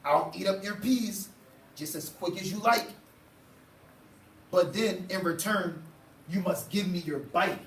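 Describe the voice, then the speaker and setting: Man reading as a greedy storybook monster, boastful, demanding, slow rhyming delivery, distant and roomy. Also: high-pitched, a bit bright. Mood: tempting. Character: bargaining, gluttonous pea-eating storybook monster. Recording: roomy, distant mic, faint noise.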